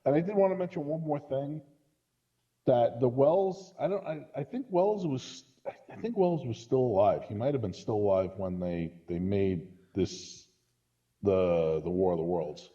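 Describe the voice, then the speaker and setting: A man's voice: medium pitch, lively intonation, normal speed, mellow. A man talking casually about films as a podcast guest, conversational and opinionated. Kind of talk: casual film discussion. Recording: dull lo-fi voice recording.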